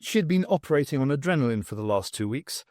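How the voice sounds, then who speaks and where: A man reading fiction aloud as an audiobook narrator, in an expressive storytelling style. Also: mid-range voice, fast talker, dry recording, clean studio sound.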